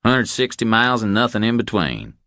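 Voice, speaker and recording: A man's voice: on the low side, big pitch swings, a little fast, pushed and bright, pitched above the performer's own voice. A man voicing a cocky, casual ranch hand in an audiobook, breezy and offhand.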